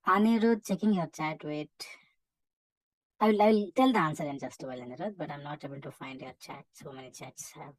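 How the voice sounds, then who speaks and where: Indian female online English teacher, lowish voice, brisk and instructive, close dry recording. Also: no echo, low-quality recording. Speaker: English teacher running a live online reading practice class. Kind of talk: live interactive lesson, calling out names and marking answers.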